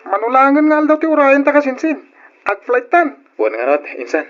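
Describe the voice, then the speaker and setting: Excitable high-voiced teasing young cousin. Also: male voice, moderate pace, soft background music, full character voice. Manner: teasing, animated. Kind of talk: old radio drama acting. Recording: dull old radio drama recording.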